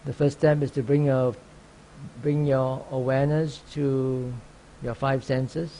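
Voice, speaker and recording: A man teaching guided meditation, speaking calmly and unhurriedly in short phrases with long pauses. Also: medium pitch, slight background noise, low-quality recording. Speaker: meditation teacher, Buddhist monk.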